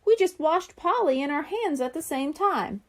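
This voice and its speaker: A woman's voice: sits high, animated, moderate pace, voice pushed up. A woman voicing an eager, skeptical little boy in a children's storybook, earnest and prone to exclaiming.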